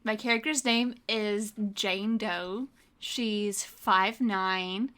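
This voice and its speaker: A woman's voice: medium pitch, fairly even intonation, very slow, sharp tone, clear, resonant tone. A woman talking casually as a player in a tabletop roleplaying game. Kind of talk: casual character introduction and banter.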